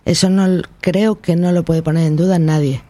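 A woman with a central Spanish peninsular accent, a guest on a panel, talking in a measured, explanatory way. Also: lowish voice, steady tone, mellow, dry recording. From Spain. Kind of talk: casual panel chat.

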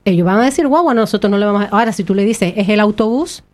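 Canarian woman talking fast, arguing her point on a panel. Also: mid-range voice, animated, darkish tone. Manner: fast, argumentative. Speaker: panel guest. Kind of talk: casual panel chat.

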